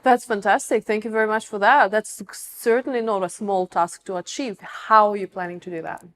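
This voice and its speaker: A woman's voice: medium pitch, steady tone, normal speed. A woman hosting an interview, upbeat and welcoming as she asks her questions. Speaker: interviewer, host.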